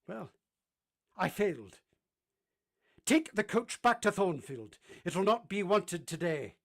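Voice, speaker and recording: Brooding period gentleman played by a male actor, bitter, anguished, declamatory radio drama delivery. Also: high-pitched, big pitch swings, takes it slowly, vocal fry, exaggerated voice. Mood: bitter defiance. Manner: impassioned, declamatory. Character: anguished, brooding English gentleman, bitter and pleading with his beloved.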